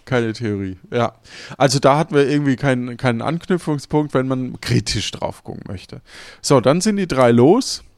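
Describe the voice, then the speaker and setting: A man's voice: mid-range voice, moderate pace, a little mellow, dry recording, clean studio sound. A man in casual conversation as a podcast co-host, thinking aloud and rephrasing as he goes. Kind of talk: casual chat.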